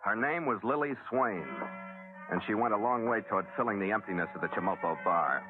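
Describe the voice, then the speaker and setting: A low-pitched American sea captain, played by a man in a 1940s radio adventure, speaks with dry, understated wryness. He is laconic and guarded, heard through a thin, tinny old broadcast with music behind it. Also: fairly quick, lightly acted. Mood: wry, narrating. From United States. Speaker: lead actor and narrator. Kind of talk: 1940s radio adventure acting, first-person narration.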